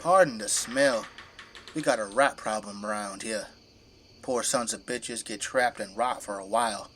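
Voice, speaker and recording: A man's voice: lowish voice, a little slow, a bit bright, slight background noise. An actor playing a folksy, boastful, tipsy middle-aged father who fights zombies with a flamethrower in an audio drama. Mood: proud, showing off. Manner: folksy, colloquial, easygoing bragging.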